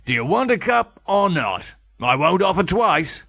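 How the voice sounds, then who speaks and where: A man voicing a bluff, chatty middle-aged estate agent with a gravelly, jovial manner in an audiobook. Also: sits high, very animated, measured pace, fairly bright tone, dry recording, studio quality, pitched above the performer's own voice, exaggerated voice. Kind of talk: audiobook character voice.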